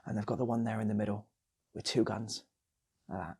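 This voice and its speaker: A man's voice: lowish voice, fairly even intonation, fairly quick, noisy background. A British man improvising a comic story, rambling and repeating phrases for effect as he goes. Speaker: channel host and storyteller.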